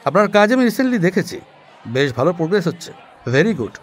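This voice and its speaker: Polite reserved music veteran, audio drama, voice a bit high, party crowd faintly behind. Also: male voice, very animated, brisk. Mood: polite approval. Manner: politely approving.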